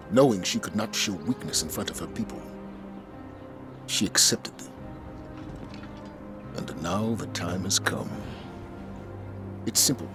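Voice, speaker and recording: An actor playing a dutiful, resolute military commander in a video game, speaking with measured, solemn authority. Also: male voice, on the low side. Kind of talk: scripted video game dialogue. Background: music.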